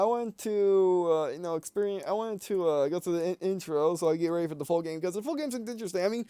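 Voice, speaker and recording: Man, high smooth voice, let's-play host, casual off-the-cuff review, rambling, keeps correcting himself. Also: a little mellow, dry recording. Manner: rambling, hesitant, repeatedly self-correcting. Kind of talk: casual unscripted game review commentary.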